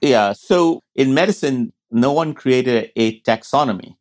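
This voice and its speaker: A man's voice: mid-range voice, a little slow. A man speaking as an expert guest, explaining and telling a story in an interview. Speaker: professor of medicine, expert guest.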